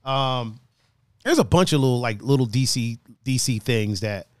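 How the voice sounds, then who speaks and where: A man hosting a casual podcast chat, talking in a playful, animated way full of slang and laughter. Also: mid-range voice, normal speed, dry recording, studio quality. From another country, raised partly on the East Coast. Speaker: podcast host.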